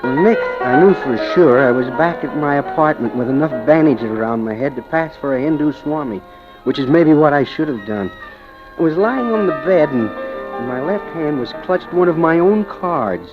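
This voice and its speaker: Actor as a wry, high-pitched radio detective man. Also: expressive, moderate pace, lightly acted. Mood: wry narrating. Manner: wry, wisecracking, flustered. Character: hapless, lovesick amateur detective narrating his own misadventures. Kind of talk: 1940s radio acting and narration. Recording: muffled old radio recording with music.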